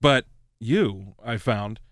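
A man's voice: lowish voice, a bit bright, no room sound. Upbeat male radio host, dry mic. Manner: enthusiastic, laughs often, quick reactions. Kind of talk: casual interview.

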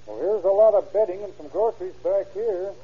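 A man's voice: high voice, brisk, husky. An actor with an American accent playing a lawman's eager, curious sidekick in an old-time radio drama, folksy and inquisitive. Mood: speculating. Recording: muffled old radio recording.

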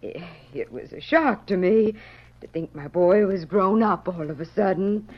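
A woman's voice: on the low side, expressive, measured pace. A middle-aged woman playing a kindly, fussing frontier mother in an old radio drama. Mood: moved, flustered. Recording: muffled old radio recording.

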